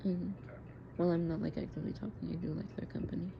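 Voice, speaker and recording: A young adult woman talking haltingly and informally, as if into a personal audio diary. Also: lowish voice, fairly even intonation. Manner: hesitant, halting, informal with swearing. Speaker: audio diarist. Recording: muffled home recording, clear background noise. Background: background noise, friends' voices.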